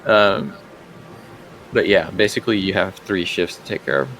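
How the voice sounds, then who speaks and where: A man's voice: sits low, moderate pace, fairly bright tone, a little hiss or hum, poor audio. A man working as game master, narrating casually and improvising as he goes, often thinking aloud.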